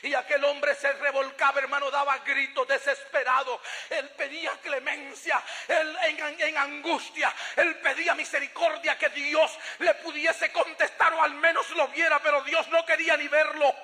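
Male preacher, very high-pitched, narrow melodic range, emphatic storytelling testimony, long hall reverb. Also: flat delivery, moderate pace, pushed and bright. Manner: emphatic, repeats phrases, acts out dialogue. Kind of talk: fervent sermon testimony, dramatic storytelling.

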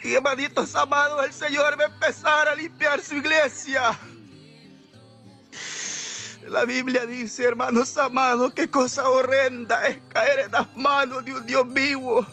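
High-pitched, impassioned male evangelical preacher. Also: little pitch movement, a little slow, soft background music. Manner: exhorting, repetitive, peppered with devout interjections; emotional, impassioned, often rising toward shouts or tearful pleading. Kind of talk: fervent revivalist sermon, testifying of a vision.

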